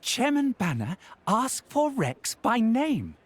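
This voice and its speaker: An actor voicing a little creature clerk who speaks in broken grammar, searching for words. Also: male voice, very high-pitched, huge pitch swings, slow pace, heavily acted. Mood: eager. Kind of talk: video game voice acting.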